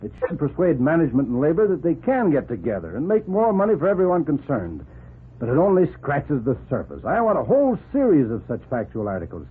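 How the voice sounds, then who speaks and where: An actor in a 1940s radio drama playing a gruff but approving newspaper editor, emphatic and bossy. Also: male voice, a little high, wildly swooping pitch, a little fast, heavily acted. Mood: firm, approving. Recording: narrow old 1940s radio recording.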